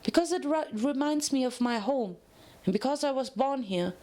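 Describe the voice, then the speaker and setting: A woman's voice: sits high, expressive, moderate pace, darkish tone, pitched above the performer's own voice. A woman voicing a cheerful, chatty, naive pink pony inmate in a dramatic story reading. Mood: perky, chatty. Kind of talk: dramatic fan fiction reading.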